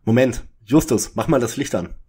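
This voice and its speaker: A man's voice: medium pitch, expressive, moderate pace, mellow, breathy edge. A man playing a fussy, bookish young detective in a parody detective audio drama, with a pedantic manner. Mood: focused.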